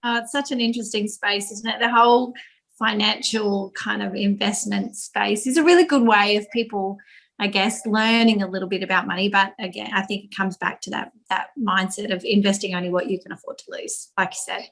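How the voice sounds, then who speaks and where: A woman co-hosting a podcast, her voice sitting in a middle register. She tells her stories with animated, laughing energy. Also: medium pitch, fairly quick, creaky. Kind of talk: casual podcast chat.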